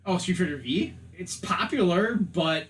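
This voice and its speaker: A man's voice: high-pitched, animated, a little slow, poor audio. A man talking casually as a podcast panelist, giving opinions and often repeating words as he thinks aloud.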